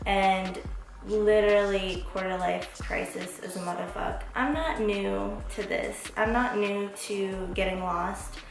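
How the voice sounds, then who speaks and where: A woman's voice: mid-range voice, fairly even intonation, a little slow, vocal fry. A woman in her twenties from Las Vegas, hosting her own vlog in a candid, reflective monologue with casual swearing. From Las Vegas, USA. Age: 20s. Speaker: vlog host. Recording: echoey room with background music.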